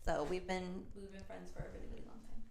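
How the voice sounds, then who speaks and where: A woman's voice: on the low side, flat delivery, fast, creaky, crowd noise. A chatty woman in her late twenties from Maryland, co-hosting a casual podcast and laughing often. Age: 20s. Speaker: podcast co-host. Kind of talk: casual chat.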